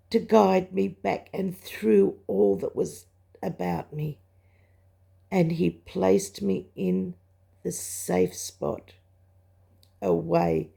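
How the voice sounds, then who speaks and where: An older woman shares a personal testimony in a croaky voice full of heavy fry. Her delivery is slow and halting, with reflective pauses. The tone of the voice is soft-edged and mellow, at an ordinary pitch for a woman. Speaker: religious vlogger sharing a testimony. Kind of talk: personal spoken testimony, recounting a dream.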